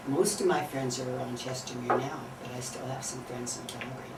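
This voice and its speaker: A woman's voice: very deep voice, steady tone, brisk, reverberant. Older woman interviewee, chatty, turns questions back; distant mic, noisy room. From Canada. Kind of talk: casual interview answers.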